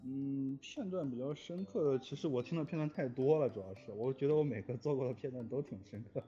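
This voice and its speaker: A man's voice: mid-range voice, lively intonation, fast talker, mellow. A man talking casually and reflectively as a composer in an interview, earnest, with somewhat hesitant phrasing. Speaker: music composer for audio dramas. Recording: dull lo-fi audio with music behind. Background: music.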